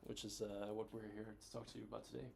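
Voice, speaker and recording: A man's voice: on the low side, flat delivery, quick pace, vocal fry, darkish tone, slight background noise. A man in his thirties from Denmark, giving an informal, self-deprecating presentation as an executive and guest speaker, with hesitant phrasing. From Denmark, raised across several countries. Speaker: venture capital COO, guest speaker.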